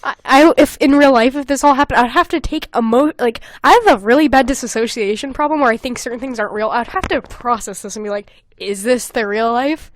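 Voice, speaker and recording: High-voiced young woman, exasperated and animated. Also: fairly quick. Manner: animated, exasperated, sweary, breaks off reading to comment. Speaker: podcast host. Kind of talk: casual comedic chat, reading aloud with mocking commentary.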